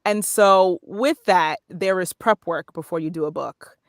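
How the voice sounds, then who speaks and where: A woman's voice: on the low side, unhurried, dry recording, studio quality. A woman speaking casually as an interview guest, explaining things in a conversational way. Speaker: podcast guest, voice-over artist and narrator.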